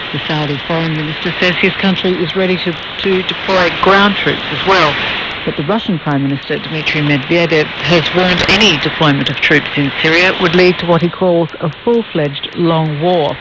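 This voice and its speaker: A woman reading a scripted news bulletin as a radio newsreader. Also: on the low side. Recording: noisy, dull shortwave radio reception.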